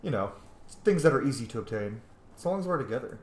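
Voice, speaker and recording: A man's voice: mid-range voice, big pitch swings, quick pace. A man giving casual, jokey playthrough commentary on a video game, slangy and chatty. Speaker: video game streamer and role-player. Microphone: microphone. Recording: echoey room.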